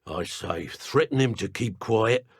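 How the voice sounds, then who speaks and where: A male actor playing a menacing dark wizard thug in a video game, with an English accent and rough, taunting, working-class speech. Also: lowish voice, lively intonation, measured pace, no echo, clean studio sound. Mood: scheming, callous. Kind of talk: video game combat barks and enemy dialogue.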